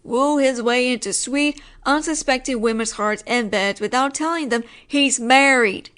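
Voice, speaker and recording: Woman playing an exasperated, high-voiced female mafia boss. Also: unhurried, fairly bright tone, smooth. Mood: wry, explaining. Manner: wry, sighing, slangy wiseguy talk. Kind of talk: roleplay audio drama monologue.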